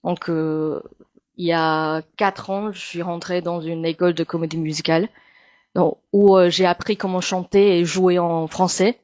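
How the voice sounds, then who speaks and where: A woman's voice: on the low side, fairly even intonation, a little mellow, no echo, muffled recording. Taiwanese woman speaking hesitant French. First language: Mandarin. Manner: hesitant, many pauses and fillers. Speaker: guest, actress and singer. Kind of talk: casual interview chat.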